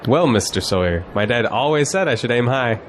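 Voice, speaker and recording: A man acts as a cocky, upbeat young male graduate in a radio play. His pitch swings around in a lively way, with faint noise behind him. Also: a little fast, some chatter behind, lightly acted. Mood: confident. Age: young adult. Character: cocky, exuberant young male college graduate, polite to elders. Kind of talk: audio drama acting.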